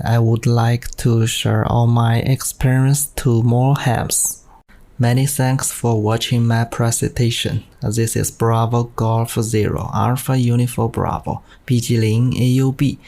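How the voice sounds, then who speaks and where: A man with a Chinese accent narrates a prepared amateur radio presentation. His delivery is stilted and very even in pitch, at an unhurried pace. The tone is mellow and dark. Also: gravelly. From China.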